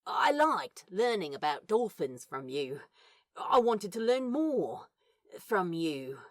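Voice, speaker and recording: Woman as awkward smitten guy, self-deprecating and sweary. Low, husky, slow, halting delivery for fan audio drama. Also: big pitch swings. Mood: tender, sincere. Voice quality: husky, breathy. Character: awkward, lovestruck, foul-mouthed man, self-deprecating, nervously sincere.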